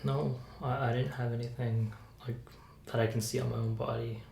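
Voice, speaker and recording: A soft-spoken woman answers briefly as a patient in an ASMR roleplay. Her voice is exceptionally low for a woman, mellow and gravelly with fry. She sounds low-key and compliant. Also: level tone, moderate pace. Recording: roomy sound with faint hiss.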